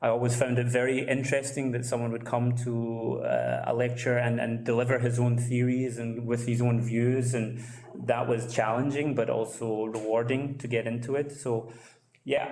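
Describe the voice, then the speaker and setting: A man's voice: medium pitch, level tone, moderate pace, darkish tone, echoey room, rough recording. A man presenting his book at a launch event, speaking with many hesitations and fillers. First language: English. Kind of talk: presentation talk.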